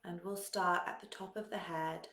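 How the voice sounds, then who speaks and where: A woman leading a guided meditation in a calm, soothing manner, giving gentle instructions with long pauses. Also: fairly low pitch, echoey room.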